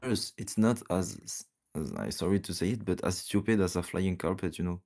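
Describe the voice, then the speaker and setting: A man's voice: deep voice, normal speed, darkish tone. A man from Morocco with a Moroccan accent, calling into a live debate and talking hesitantly with many fillers. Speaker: debate caller.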